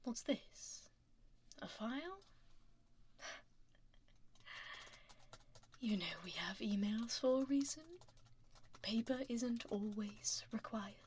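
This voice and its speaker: Girl doing a powerful dragon lady ceo for asmr, kinda high soft breathy voice, politely menacing, takes her time, super close mic. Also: a little hiss or hum. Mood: dryly skeptical. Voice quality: soft, breathy, half-whispered. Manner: deliberate, controlled, politely menacing office speech. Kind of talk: ASMR roleplay. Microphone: close binaural microphone.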